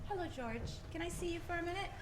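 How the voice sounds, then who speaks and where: A woman's voice: very high voice, expressive, racing, noisy background. A woman playing an earnest, embarrassed young lady down on her luck, in a 1940s-style radio drama. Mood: embarrassed, resolved. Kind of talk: 1940s radio play acting.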